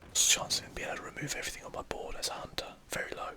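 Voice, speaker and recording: A man whispers breathily in casual, rambling ASMR commentary while playing a video game. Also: rapid-fire.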